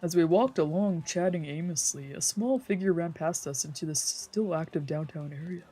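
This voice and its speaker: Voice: medium pitch, normal speed, warm tone, rough recording. A streamer reading a visual novel aloud as narration, dramatically, with casual asides. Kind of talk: let's play narration with improvised character voices. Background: faint game music.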